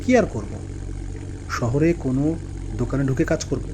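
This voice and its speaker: Weary, anxious male lorry driver for a horror cartoon, mid-range voice acted by a man, clear vehicle noise underneath. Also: mid-range voice, moderate pace, natural voice. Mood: weary, complaining. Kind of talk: cartoon voice acting. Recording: clear engine and road noise behind.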